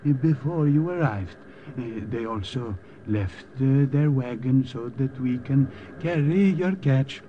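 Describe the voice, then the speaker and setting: An actor playing a kindly, cautious old man with a halting, polite manner, in 1950s radio drama style. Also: medium pitch, lightly acted. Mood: cautious, hesitant. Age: older. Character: cautious, kindly old Norwegian underground contact. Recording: dull, noisy old radio recording.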